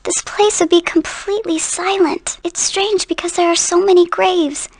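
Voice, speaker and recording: A woman's voice: steady tone, moderate pace. An actress playing a naive, childlike girl in a video game, with a girlish voice and an artless, easily muddled manner. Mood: innocent, puzzled. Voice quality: very high, girlish. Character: childlike naive spirit-seeing girl, speaks in third person. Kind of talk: English game dub voice acting.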